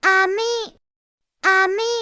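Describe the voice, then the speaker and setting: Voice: very high voice, little pitch movement, very slow, sharp tone, dry recording, exaggerated voice. A performer voicing a little boy in a children's cartoon, playful and easily scared of a scolding. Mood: nervous.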